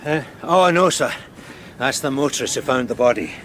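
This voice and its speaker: An actor playing a put-upon police sergeant in a radio crime drama, dryly sardonic and deferential with a tetchy edge. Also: male voice, medium pitch, moderate pace, a little hiss or hum, close to an everyday voice. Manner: deadpan, dry.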